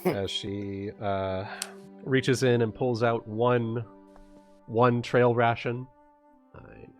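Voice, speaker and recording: A man's voice: medium pitch, fairly even intonation, extremely slow, faint music behind. A man chatting casually as a player in a tabletop roleplaying game.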